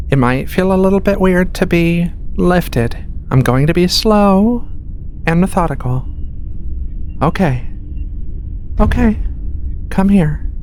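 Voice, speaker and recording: A woman playing a gentle giant furry alien medical researcher in a soft-spoken ASMR roleplay, reassuring and a little hesitant. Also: low-pitched. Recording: clear background noise, ship ambience. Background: ambient hum of a research ship.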